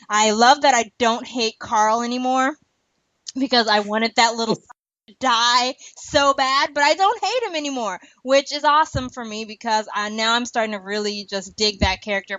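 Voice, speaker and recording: A woman with a fairly high voice hosts a casual podcast. She is chatty and opinionated, speaking informally. The sound is dry and close, slightly dull and lo-fi. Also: fairly bright tone, no room sound. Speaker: podcast host. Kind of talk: casual podcast chat about a TV series.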